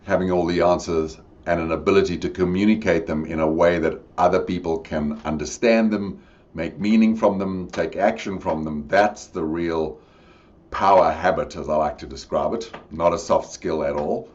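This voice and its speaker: A man's voice: low voice, moderate pace, a little mellow. A middle-aged man with a South African accent, speaking as a podcast guest in a reflective, expansive way. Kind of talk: conversational interview. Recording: dull lo-fi audio.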